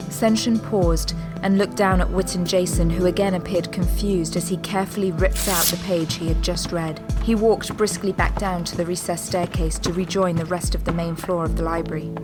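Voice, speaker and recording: A woman giving measured, descriptive scripted narration for an audio drama. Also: fairly low pitch, steady tone. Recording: loud music bed behind voice.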